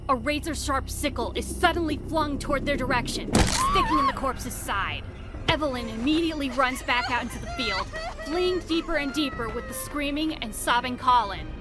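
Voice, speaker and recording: A woman's voice: high voice, big pitch swings, normal speed, over loud music. A woman narrating an audio drama in a theatrical, suspenseful storytelling style.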